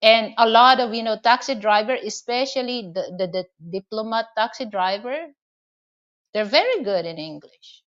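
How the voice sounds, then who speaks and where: A woman's voice: on the high side, animated, moderate pace, muffled recording. A woman from the Philippines with a Filipino accent, chatting casually to her viewers and rambling with many "you know" and "okay?" fillers. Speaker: vlogger. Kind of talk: casual advice and storytelling to camera.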